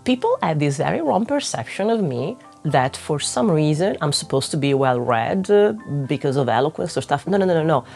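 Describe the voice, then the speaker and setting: A young adult woman with an Italian accent, a native Italian speaker, chats casually to her viewers. Her voice sits a little low, and she talks with candid, self-mocking honesty. She often restarts a word before carrying on. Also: big pitch swings, fairly quick, creaky, darkish tone, soft background music. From northwest Italy. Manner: candid and self-deprecating, with frequent restarts and laughs. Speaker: witchcraft YouTuber. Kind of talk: casual vlog talk to camera.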